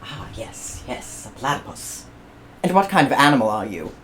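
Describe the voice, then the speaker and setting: A woman's voice: rumbling low, sing-song, moderate pace, a little hiss or hum. Woman voicing George: fussy English colonist scientist, eager and prying.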